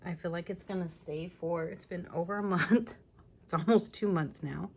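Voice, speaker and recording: Raspy-voiced woman chatting through a craft tutorial. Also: fairly low pitch, moderate pace, a little mellow. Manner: chatty, apologetic asides while working. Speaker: scrapbooking tutorial host. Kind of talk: casual crafting tutorial talk. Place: home craft room. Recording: faint room noise from fan. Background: fan running.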